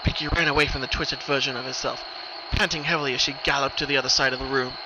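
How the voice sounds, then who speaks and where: A man with a mid-pitched voice narrates a horror story in a dramatic reading. His delivery is scripted narration. Clear noise runs behind his voice. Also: steady tone, fast. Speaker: narrator of horror fan fiction. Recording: noisy recording, rumbling ambient background.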